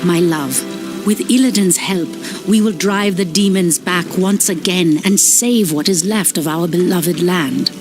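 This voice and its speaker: A woman's voice: lowish voice, measured pace, music in the background, lightly acted. An actress playing a resolute, defiant female elf priestess. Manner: determined, defiant.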